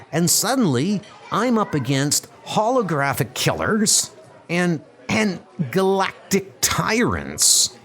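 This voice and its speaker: A man playing an anxious, self-doubting young male rookie superhero in a comic book dub, fretful and rambling. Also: heavily acted. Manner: fretful, rambling, high-strung.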